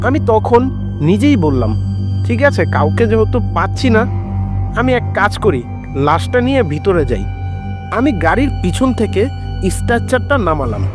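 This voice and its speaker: Male storyteller, scripted horror narration, dramatic and suspenseful, highly varied intonation, prominent background music.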